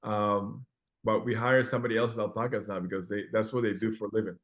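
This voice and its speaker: A man's voice: fairly low pitch, fairly even intonation, fast talker. A man from Peru, a guest telling his story in a casual interview, earnest and full of restarts and fillers.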